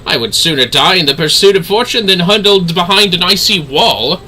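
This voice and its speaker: A man voicing a disdainful, high-flown adventurer from a fantasy video game in a formal, theatrical manner. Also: animated, moderate pace, sharp tone, a little hiss or hum, full character voice, low-quality recording. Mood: haughty, bitter.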